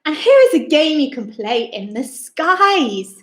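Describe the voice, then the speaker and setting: A woman with a high-pitched voice reads a children's picture book aloud in an echoey room. Her delivery is theatrical and very lively, with wide swings in tone and an unhurried pace. She speaks straight to her young listeners, exclaiming as she goes. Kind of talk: expressive rhyming read-aloud for young children. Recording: roomy, echoing indoor sound.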